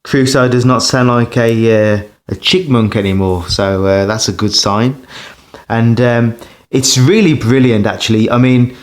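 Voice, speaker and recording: A man giving a casual hands-on demonstration as a record collector, pausing and hesitating as he talks. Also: mid-range voice. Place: indoors beside a turntable.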